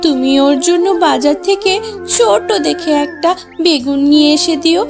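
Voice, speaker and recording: Casting: woman to play caring animal mother, notably high voice, scolds then coaxes, kids' cartoon over clear music. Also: normal speed. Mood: explaining. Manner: chiding, coaxing. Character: fussing, caring animal mother of a young girl. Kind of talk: children's cartoon voice acting.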